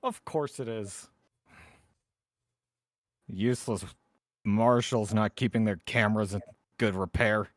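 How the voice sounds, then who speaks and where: A man playing a tabletop game, talking casually in a halting, wry way. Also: lowish voice, steady tone, dry recording, studio quality.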